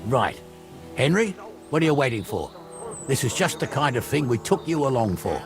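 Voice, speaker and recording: Haughty, creaky-voiced medieval lord. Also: male voice, medium pitch, big pitch swings, moderate pace, music in the background. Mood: dry, commanding. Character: haughty medieval lord leading his men. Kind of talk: video game cutscene acting.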